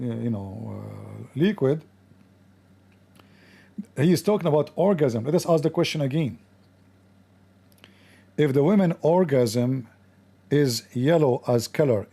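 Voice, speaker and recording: A man's voice: medium pitch, gravelly, mellow. A man hosting a commentary show, talking in a sarcastic, mocking way. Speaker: host.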